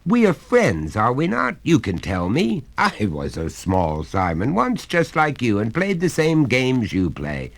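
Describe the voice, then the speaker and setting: A man's voice: medium pitch, huge pitch swings, a little slow, gravelly, few pauses, pitched above the performer's own voice, heavily acted. A man voicing a confident, patronising father who lectures his small son in a coaxing, superior way. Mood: lecturing. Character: confident, patronising middle-aged English father. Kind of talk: audiobook reading.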